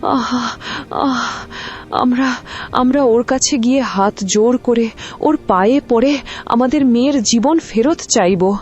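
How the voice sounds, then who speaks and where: A woman's voice: on the high side, slow pace, darkish tone, muffled recording. A woman voicing a grieving village mother who begs tearfully for her daughter. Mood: pleading. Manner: tearful, begging.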